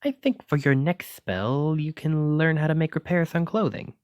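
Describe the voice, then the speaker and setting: A man's voice: fairly high pitch, normal speed, no echo, studio quality, close to an everyday voice. A man playing a clumsy, kindly wizard teacher in an ASMR roleplay, with theatrical, old-fashioned, encouraging phrasing. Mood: genteel, instructive. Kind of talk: ASMR roleplay addressed to the listener.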